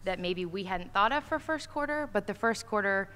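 A woman's voice: medium pitch, animated, normal speed, a bit bright, smooth. A woman administrator answering questions at a school board meeting, casual with many fillers, at a podium mic in a large auditorium. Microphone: standing podium mic from a far camera. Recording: echoey hall, faint noise.

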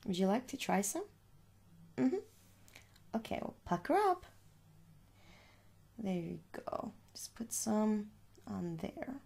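A young woman speaking softly in an ASMR shop roleplay, coaxing the listener with little questions in a husky voice. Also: mid-range voice, very animated, slow, long pauses. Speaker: ASMR performer playing a makeup shop seller. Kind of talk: soft-spoken ASMR roleplay. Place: small room at home.

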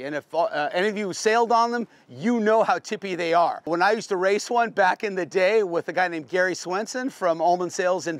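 A man's voice: high-pitched, no room sound. A middle-aged American man giving a casual, unscripted boat tour in a plain-spoken, folksy, explanatory way, like a veteran sailor.

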